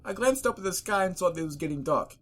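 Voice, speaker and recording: A reader voicing a wounded traveller as an exaggerated, annoying first-person story narrator, loudly and melodramatically. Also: mid-range voice, expressive, quick pace, voice pushed up. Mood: weary complaint.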